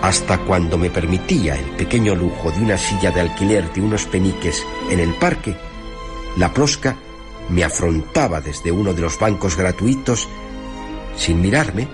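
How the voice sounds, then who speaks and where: A man reading a literary story in the first person, theatrical and measured, with deliberate pauses between phrases. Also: deep voice, expressive. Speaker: narrator reading a short story. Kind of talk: dramatic literary radio narration in first person. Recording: music under the voice. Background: music.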